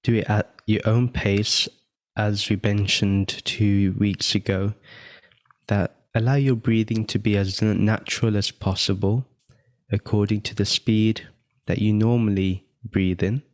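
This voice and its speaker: A man leading a guided meditation, giving gentle step-by-step instructions with long pauses between phrases. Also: on the low side, fairly even intonation, no room sound, studio quality. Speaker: meditation guide and podcast host.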